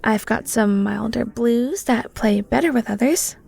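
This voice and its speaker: High-voiced, playful, flirty cheesemonger played by a woman. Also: unhurried, creaky, darkish tone, husky, full character voice. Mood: playful, enthusiastic. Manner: bubbly, teasing, giggly, breaks into near-whispers. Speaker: audio roleplay voice actor. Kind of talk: intimate flirty audio roleplay, one-sided conversation.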